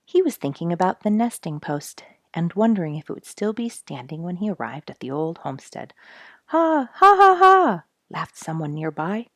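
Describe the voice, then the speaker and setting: A woman's voice: low voice, mellow, dry recording, clean studio sound. A woman hosting a children's story reading, addressing young listeners in a friendly way as she narrates and chats. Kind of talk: read-aloud children's narration with chatty asides.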